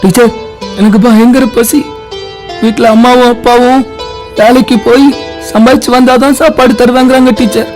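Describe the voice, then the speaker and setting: A woman's voice: sits high, moderate pace, close to an everyday voice. A woman playing a hungry, poor little street boy in a children's puppet show, speaking in a plaintive, pleading way. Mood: miserable. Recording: music laid under the voice.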